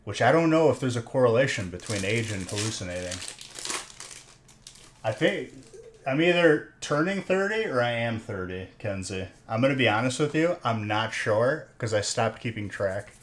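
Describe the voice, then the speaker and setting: A man's voice: medium pitch, lively intonation, normal speed, a little hiss or hum. A man in his thirties hosting a live stream, chatting casually and jokingly teasing his viewers while he opens card packs. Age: 30s. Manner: teasing, joking banter with chat.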